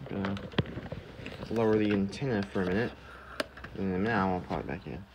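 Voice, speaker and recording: Male home vlogger, lowish voice, halting and offhand, talking through a hands-on demo amid loud noise, clicks and beeps. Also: dull audio. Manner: halting, thinking aloud. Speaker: vlogger showing a gadget. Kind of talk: casual hands-on demonstration, muttering to self. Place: indoors in a cluttered home room. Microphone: handheld phone or camera held close to device. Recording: noisy handheld home recording with clicks and beeps. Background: loud noise, button clicks and device beeps.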